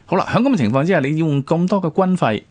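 A man's voice: fairly high pitch, expressive, racing, darkish tone, no echo. A man from Hong Kong with a Hong Kong Cantonese accent discusses history conversationally, explaining points with rhetorical questions. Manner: explanatory, with rhetorical questions and frequent sentence particles. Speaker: radio programme presenter. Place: radio studio.

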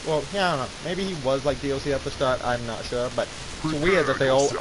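A man's voice: medium pitch, quick pace. A man streaming a video game with casual, rambling, opinionated and self-deprecating commentary. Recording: noisy gaming stream with game sounds. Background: video game ambience.

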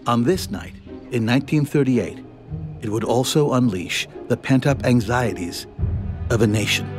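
Guy narrating a documentary, measured, medium pitch, music behind him. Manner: measured storytelling. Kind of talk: scripted narration.

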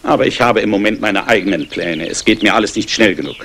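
An actor playing a courteous, guarded English aristocrat investor in a 1960s German crime radio play. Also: male voice, lowish voice, fast talker, creaky, fairly bright tone, a little hiss or hum. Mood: confiding. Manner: courteous, urbane.

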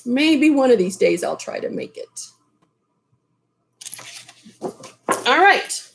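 A middle-aged woman reads a children's story aloud. Her voice sits a little high and her pace is slow. She pauses for casual comments to young listeners. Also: big pitch swings, creaky. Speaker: storyteller reading a picture book aloud. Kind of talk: expressive children's read-aloud with casual asides. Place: small furnished room at home, indoors. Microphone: webcam at desk distance.

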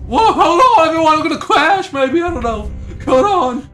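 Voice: very high-pitched, big pitch swings, moderate pace, a bit bright, heavily acted. A performer playing an impulsive, rambling, goofy action hero in an improvised comedy game dub. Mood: panicked, excited. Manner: rambling, confused, exclaiming. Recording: music behind the voice.

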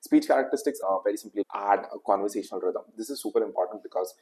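A young man with an Indian English accent talks fast through a screen-recorded tutorial. His voice sits fairly low and his talk is loose and full of fillers, recorded on a webcam mic indoors. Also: darkish tone, poor audio. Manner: quick, loose, with frequent fillers. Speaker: tutorial host. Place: indoor room.

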